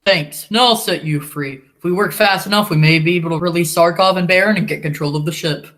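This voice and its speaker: A man's voice: fairly high pitch, brisk, fairly bright tone, natural voice. A young man playing a brave athletic American hero in an old-time radio serial. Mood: determined. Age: teens to young adult.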